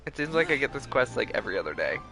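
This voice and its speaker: A man's voice: medium pitch, big pitch swings, rapid-fire, vocal fry, bright, forceful tone, poor audio. A man streaming a video game with casual, playful, rambling chat and a giggly manner. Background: faint game music.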